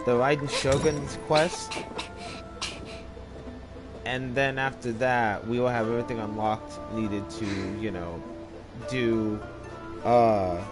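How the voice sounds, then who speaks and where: A man hosting a casual game let's play, congested, talking in a rambling, halting way. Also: medium pitch. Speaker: gaming channel host. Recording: game music loud behind.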